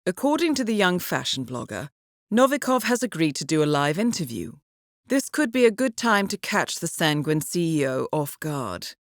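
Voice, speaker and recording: A woman playing a cool, composed intelligence handler in a video game, giving mission briefings in a measured, formal, dryly witty manner. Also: sits low, big pitch swings, no room sound, clean studio sound. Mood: measured, informative.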